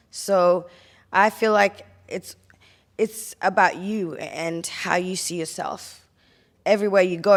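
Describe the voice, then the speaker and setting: Lower-pitched young woman from Ethiopia. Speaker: panel guest. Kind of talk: casual discussion.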